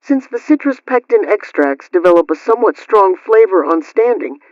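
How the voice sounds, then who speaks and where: A man's voice: high-pitched, lively intonation, normal speed, dry recording. A man reading scripted household advice as a radio host, in a formal, instructional, carefully enunciated manner, question then answer. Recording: dull lo-fi radio-style sound.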